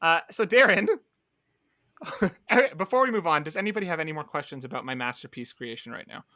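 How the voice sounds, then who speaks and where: A man's voice: a little high, lively intonation, fairly quick, pushed and bright, dry recording, clean studio sound. A man rambling through a casual podcast chat as co-host, full of filler words.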